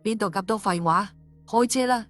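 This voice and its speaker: A woman's voice: mid-range voice, moderate pace, dry recording, faint music behind, natural voice. A woman playing an angry, suspicious policewoman and wronged wife in an audiobook drama, scolding bluntly. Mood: irritated.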